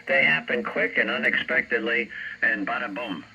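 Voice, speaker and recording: A man's voice: medium pitch, normal speed, vocal fry, muffled recording. An older American man chatting casually over shortwave amateur radio, telling rambling anecdotes. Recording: very noisy shortwave radio reception, heavy static.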